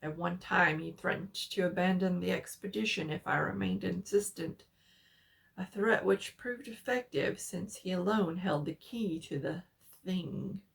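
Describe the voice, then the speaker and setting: A woman giving a solemn, deliberate dramatic reading of a horror story as its narrator. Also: fairly low pitch, gravelly.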